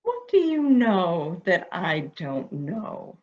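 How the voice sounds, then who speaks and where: A woman's voice: on the low side, slow pace, exaggerated voice. Woman playing a coaxing, wary lady, swooping intonation. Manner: soft questioning, flattering, theatrical. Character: coaxing, anxious woman humoring a dangerous madman. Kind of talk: amateur murder mystery audio drama acting. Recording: dull, muffled lo-fi sound.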